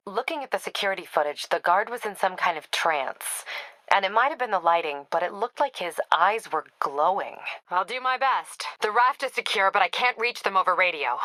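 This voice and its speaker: Tough female police captain, American accent, bright forceful voice, clipped and authoritative, dry close studio sound, video game acting. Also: medium pitch, expressive, normal speed, dry recording, rough recording. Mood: businesslike, focused.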